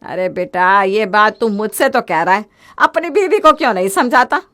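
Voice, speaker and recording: A woman voicing a sharp-tongued, thrifty older mother-in-law in a storybook drama, speaking in a lecturing, sarcastic way. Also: mid-range voice, animated, moderate pace, close to an everyday voice. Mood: scolding. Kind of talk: storybook voice acting.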